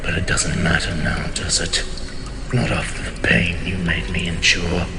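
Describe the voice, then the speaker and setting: Man acting a deep, gravelly, bitter immortal king. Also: fairly even intonation. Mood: wounded, bitter. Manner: theatrical, measured, imperious, often dropping to a whisper. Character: betrayed immortal mage king, proud, bitter, menacing husband. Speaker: voice actor. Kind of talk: dramatic fantasy monologue. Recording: long cavernous reverb, music underneath.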